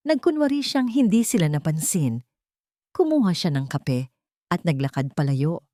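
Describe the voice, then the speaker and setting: A woman's voice: low voice, sing-song, normal speed, dark tone, no room sound, studio quality. A woman giving a scripted, dramatic narration of a story.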